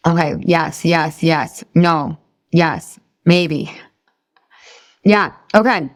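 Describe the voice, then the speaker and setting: Middle-aged woman coaching a group live, upbeat, keeps asking them stuff, lowish voice, dry close sound. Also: very slow, dry recording. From Boston area. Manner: upbeat, talks straight to her group, asks questions. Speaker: weight loss coach.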